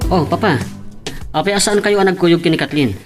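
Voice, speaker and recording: A man's voice: high-pitched, brisk, music in the background, close to an everyday voice. A man with an Ilocano Filipino accent playing a weary, evasive young husband in an audio drama. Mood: weary, dismissive.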